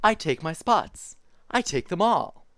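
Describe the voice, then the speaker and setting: A dopey spotted creature from a children's storybook, read aloud by a streamer, boasting in bouncy singsong rhymes. The pitch leaps up and down all over the place. The sound is dry and close. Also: big pitch swings, unhurried, gravelly, dry recording. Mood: boastful.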